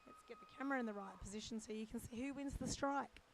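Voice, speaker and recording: A middle-aged woman with a croaky, strained voice gives casual live sports commentary from poolside. She talks in a chatty, unhurried way, with fillers as she thinks aloud. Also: on the high side, noisy background. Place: poolside at an indoor or covered swimming pool.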